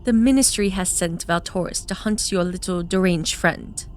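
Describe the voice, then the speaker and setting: A man's voice: sits high, moderate pace, soft background music, voice pushed up, natural voice. A male narrator voicing a composed, fond noblewoman who speaks in a soothing way in an audio drama. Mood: reassuring. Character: calm, fond scheming noblewoman.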